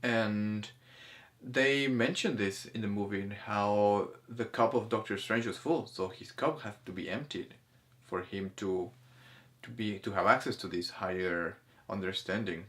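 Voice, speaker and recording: A man talking casually as host of a discussion, haltingly, often repeating words and restarting his sentences. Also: fairly low pitch, a bit bright. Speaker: host of a spirituality film discussion. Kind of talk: casual conversational commentary.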